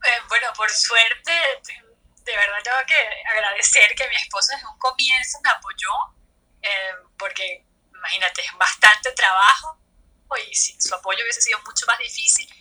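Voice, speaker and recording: A woman from Venezuela, an entrepreneur, answering interview questions in a soft, hesitant way, searching for words. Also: a little high, moderate pace, low-quality recording. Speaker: guest entrepreneur.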